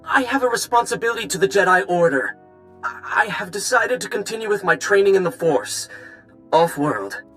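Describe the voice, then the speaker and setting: A young noble knight-in-training from a space fantasy game. He is hesitant and apologetic, with a sharp, forceful, slightly high voice. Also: very animated, faint music behind, heavily acted. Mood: apologetic, strained. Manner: halting, apologetic. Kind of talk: video game voice acting.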